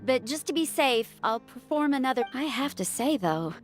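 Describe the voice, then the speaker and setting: A woman's voice: sits high, expressive, moderate pace. A woman voicing a caring, attentive female healer in a fantasy game, speaking conversationally. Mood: concerned, attentive. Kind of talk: game voice acting. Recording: music under the voice. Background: background music.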